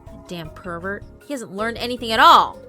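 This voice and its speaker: A brash, hot-headed schoolboy bully acted by a woman, loud and self-righteous. The visual novel delivery is aggressive and vengeful, with music playing clearly behind. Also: medium pitch, expressive, normal speed, close to an everyday voice. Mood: indignant. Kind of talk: visual novel character narration.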